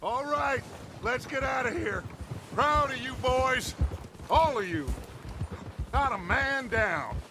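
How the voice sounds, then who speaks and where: Grandiose, animated outlaw gang leader. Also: male voice, very high-pitched, slow, long pauses, background noise, full character voice. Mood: commanding. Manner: grandiose, commanding, speechifying. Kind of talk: dramatic Western game cutscene acting.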